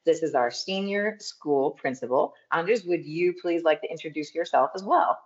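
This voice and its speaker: A woman moderating an online school open house, guiding the audience step by step in a lively way over video call. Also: low-pitched, normal speed. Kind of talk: upbeat event hosting. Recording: dull lo-fi video-call audio.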